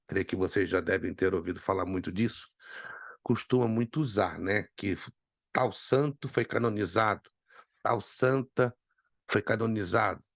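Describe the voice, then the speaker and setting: A man, hoarse from a cold, teaching an online Bible class and calling on students by name. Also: on the low side, dry recording. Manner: repeats key points for emphasis.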